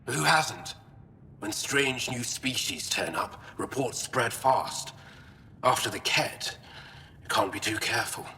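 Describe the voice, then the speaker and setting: A man's voice: expressive, slow pace, sharp tone, full character voice. An actor playing an impatient, suspicious male alien historian in a video game, with a huffy, sardonic delivery. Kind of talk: video game dramatic acting. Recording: long reverb, faint rumbling noise.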